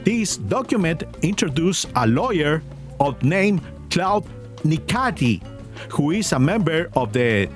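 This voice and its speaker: A man with a non-native English accent reading court documents aloud in scripted commentary, with a mocking edge. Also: a little high. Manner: mocking, reads legal text aloud. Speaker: commentary youtuber.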